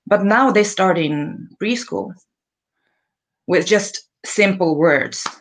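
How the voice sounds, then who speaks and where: A woman's voice: medium pitch, slow pace, slight background noise. Swedish woman chatting on a video call. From Sweden. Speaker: guest. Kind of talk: casual video-call chat.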